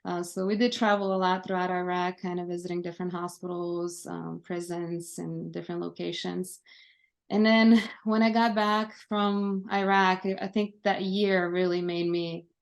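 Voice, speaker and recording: A woman's voice: on the low side, normal speed, gravelly. A woman in her thirties from Lithuania, a Lithuanian native speaker, telling her story in a casual interview over a video call. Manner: steady, many fillers and restarts. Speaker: guest, Army veteran. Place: home office.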